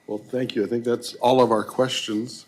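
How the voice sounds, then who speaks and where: A man's voice: mid-range voice, flat delivery, moderate pace. A man chairing a public meeting, brief and informal, speaking into a table mic from a distance. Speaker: board chair.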